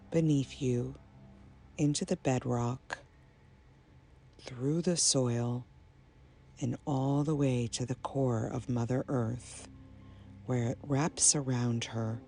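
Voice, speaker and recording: A woman with a low-key, creaky voice leads a guided meditation over ambient music. Her delivery is extremely slow and soothing. She measures out short phrases with pauses between them. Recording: soft binaural music bed. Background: ambient binaural meditation music.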